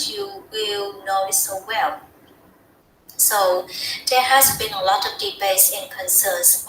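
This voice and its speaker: A woman's voice: medium pitch, bright, forceful tone, reverberant, slight background noise, poor audio. Earnest young Vietnamese-accented woman. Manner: earnest, heartfelt, with frequent restarts. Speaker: student speaker. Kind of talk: practice talk in a TED style.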